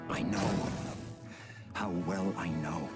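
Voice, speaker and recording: An actor playing a grave male elf king weighed down by guilt, speaking in a solemn, measured, commanding way. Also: low-pitched, loud music behind the voice. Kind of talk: video game cutscene acting.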